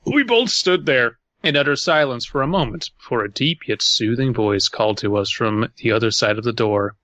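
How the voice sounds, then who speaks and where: A man's voice: mid-range voice, normal speed, pushed and bright, no echo. A man co-hosting a podcast, reading fiction aloud and wryly commenting on the wording. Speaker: podcast co-host.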